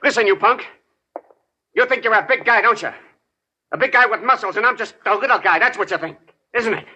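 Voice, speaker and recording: An actor playing a bullying, domineering small-time crime boss in a 1940s radio drama. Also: male voice, very high-pitched, bright, forceful tone, exaggerated voice, rough recording. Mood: furious, menacing. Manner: needling, domineering, menacing. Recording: vintage 1940s radio broadcast.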